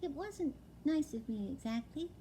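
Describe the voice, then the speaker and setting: A woman reading aloud and voicing an anxious, eager little barn owl boy, earnest and squeaky. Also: lively intonation, measured pace, dark tone, a little hiss or hum.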